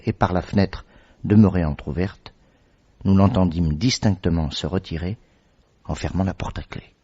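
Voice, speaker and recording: Here is a man performing a loyal doctor narrating a radio drama in a measured, storytelling voice. His voice sits very low and has a husky edge. The sound is dull and lo-fi, like an old radio recording. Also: darkish tone. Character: Dr Watson, loyal male companion and narrator.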